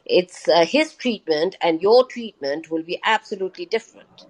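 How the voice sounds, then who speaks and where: A woman's voice: fairly low pitch, lively intonation, moderate pace, poor audio. A woman doctor and lecturer, a guest in a live interview, explaining things patiently with many hesitant fillers. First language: not Hindi.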